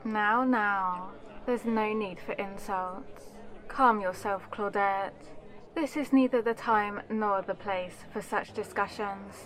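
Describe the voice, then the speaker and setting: A woman's voice: medium pitch, slow pace, fairly bright tone. A woman playing an aristocratic elder vampire in an ASMR audio roleplay, composed and commanding, with formal, condescending poise. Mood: firm, chiding.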